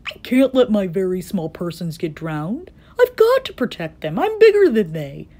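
A gentle, protective male elephant from a children's storybook, played by a woman. The voice is earnest and emphatic, often lifting high with wide swings of pitch. He pleads sincerely for those smaller than himself. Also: very animated, unhurried. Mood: worried, determined.

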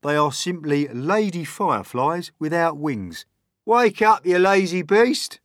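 Shrill, bossy storybook centipede, yelling, high and wild. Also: male voice, big pitch swings, a little slow, no echo, clean studio sound. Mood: lecturing, impatient. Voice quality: shouting, shrill. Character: loud grumpy male centipede, bossy.

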